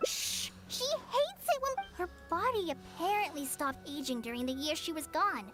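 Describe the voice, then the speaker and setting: A woman voicing a timid, earnest young girl student in an anime-style game, hushed and shy. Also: very high-pitched, animated, moderate pace. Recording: quiet game dialogue under clear music.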